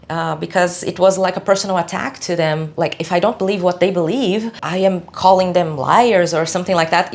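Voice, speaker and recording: A young Brazilian woman whose first language is Portuguese speaks English with a Brazilian accent, giving a blunt, frank monologue to camera full of rhetorical questions. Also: lowish voice. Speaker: vlogger. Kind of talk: opinionated casual monologue to camera.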